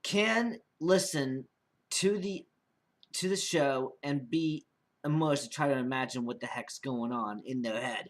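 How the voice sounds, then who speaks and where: A man's voice: mid-range voice, measured pace. A young man giving a casual, unscripted vlog monologue as an amateur podcast creator, rambling and often repeating and restarting his phrases.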